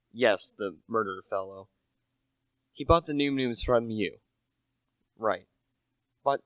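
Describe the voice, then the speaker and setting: A man's voice: mid-range voice, fairly bright tone, dry recording, low-quality recording. A young man acting as a humble, anxious salesman telling a murder tale in an amateur radio play. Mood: businesslike. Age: teenager to young adult. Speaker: actor, narrator.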